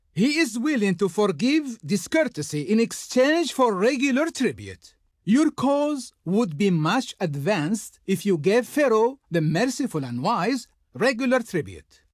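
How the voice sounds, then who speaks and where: A grandiose servant of the Pharaoh, a video game diplomat full of haughty ceremony. The delivery is slow and theatrical, with wide, sweeping intonation. Every phrase is formal and declaimed, in a dry, close studio sound. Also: medium pitch, no room sound. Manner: pompous, declamatory, formal, drawn-out. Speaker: game character, faction envoy.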